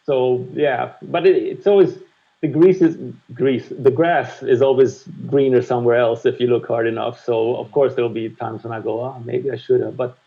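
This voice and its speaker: Frank, reflective man, 50s, gravelly voice, slightly muffled recording. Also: mid-range voice, steady tone, normal speed. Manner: reflective, frank, swears casually. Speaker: guest music producer. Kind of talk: candid conversational interview.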